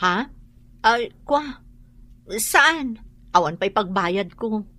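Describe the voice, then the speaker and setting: An actress with a Filipino accent playing a gossipy, sharp-tongued woman neighbour in a radio drama. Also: medium pitch, lively intonation, drawn-out words, dry recording, exaggerated voice. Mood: gossipy, complaining.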